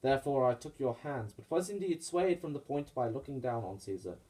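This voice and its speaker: A fervent Roman nobleman, acted by a man, full of grief and vengeance. The voice is middle-pitched and declaims theatrical Shakespearean verse for a puppet show with impassioned weight. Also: animated, a little fast, warm tone, natural voice, rough recording. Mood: guarded.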